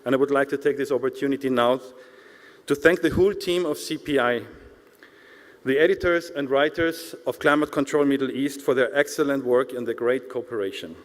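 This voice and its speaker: A man's voice: mid-range voice, fairly quick. Man giving a formal speech in an echoing hall. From Austria. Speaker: industry association director giving an address. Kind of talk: formal prepared awards speech. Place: large indoor event hall, speaking to an audience. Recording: echoey hall, long reverb.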